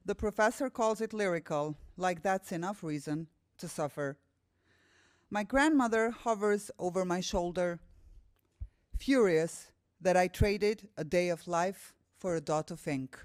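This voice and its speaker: A woman's voice: fairly low pitch, unhurried, darkish tone, no room sound, clean studio sound. A woman with an Albanian accent, a native Albanian speaker, giving a public talk and poetry reading as a guest poet. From Kosovo. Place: room with a live audience.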